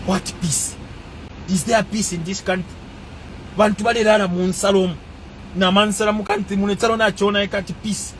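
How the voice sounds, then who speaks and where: A man's voice: high-pitched, fairly even intonation, a little fast, slight background noise, low-quality recording. A man giving a passionate, emphatic monologue and switching between languages as he speaks.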